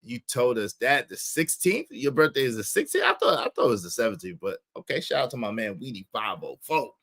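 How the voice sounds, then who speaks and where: Bright, forceful American man livestreaming. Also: medium pitch, big pitch swings, a little fast, gravelly. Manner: emphatic, repeats phrases, tags statements with "right?" and "okay?". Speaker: livestream host. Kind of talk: casual livestream monologue to viewers.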